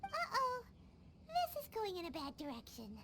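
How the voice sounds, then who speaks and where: A woman voicing a tiny, cheeky, childlike fairy companion with a squeaky voice in a video game. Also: huge pitch swings, moderate pace, very noisy, exaggerated voice. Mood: worried. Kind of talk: English video game dub acting.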